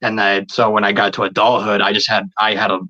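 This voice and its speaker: A man in his thirties chatting casually as a podcast guest, halting and often repeating his words and phrases. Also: lowish voice, little pitch movement, sharp tone, muffled recording. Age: 30s. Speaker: podcast guest, video creator. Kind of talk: casual interview chat.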